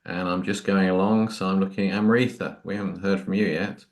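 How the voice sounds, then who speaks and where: A man's voice: low voice, fairly even intonation, a little fast. A man hosting a group session, informally inviting each participant to speak. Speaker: course host. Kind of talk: informal chairing of introductions.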